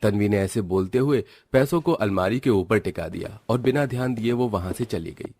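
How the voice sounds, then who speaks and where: Guy with a low voice reading out a story, some noise and clicks behind. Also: moderate pace, darkish tone. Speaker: narrator. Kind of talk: scripted storybook narration.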